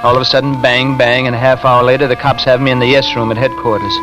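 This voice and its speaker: Guy acting as edgy 50s radio truck driver, slangy, mid pitch, music under him. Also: flat delivery, quick pace, lightly acted. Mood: wry, uneasy narration. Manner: colloquial, defensive. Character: nervous working-class truck driver, wearily telling his story. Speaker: actor in a radio suspense drama. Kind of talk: 1950s radio drama acting, first-person narration.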